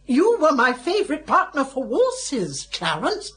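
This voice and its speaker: High, swooping genteel English schoolmistress. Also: female voice, huge pitch swings, measured pace, a bit bright, full character voice. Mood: effusive. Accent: upper-class English. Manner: gushing, refined. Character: genteel, effusive middle-aged schoolmistress, easily offended. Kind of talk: BBC radio comedy drama acting.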